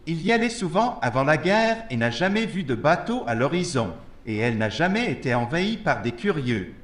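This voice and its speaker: A man playing a solemn, formal spokesman for wartime avengers in an audio drama, speaking in a measured way. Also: fairly high pitch, sing-song. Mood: neutral, translating. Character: solemn meeting speaker and interpreter for war avengers. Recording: very echoey room.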